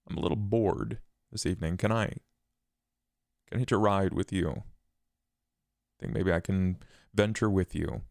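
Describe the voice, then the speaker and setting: A coy, teasing male vampire , played by a man, in a roleplaying game. The voice is low and gravelly, unhurried and coaxing, recorded dry and close. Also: a little mellow, no room sound. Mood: probing. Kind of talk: tabletop roleplay character acting.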